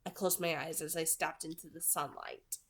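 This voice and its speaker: A woman's voice: deep voice, fairly even intonation, normal speed. A woman in her twenties who reads books aloud and talks about them. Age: 20s. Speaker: reader and reviewer on a read-aloud channel. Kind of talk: audiobook-style reading, then casual book commentary.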